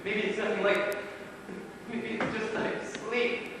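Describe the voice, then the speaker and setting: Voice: mid-range voice, level tone, a little slow, natural voice. An actor playing a frightened, anguished, childlike character in an amateur stage play, speaking in a hesitant, pleading way. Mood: pleading, afraid. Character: frightened, anguished, childlike person haunted by dread. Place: small theatre stage. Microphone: distant recorder in the hall. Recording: very echoey hall, distant, noisy.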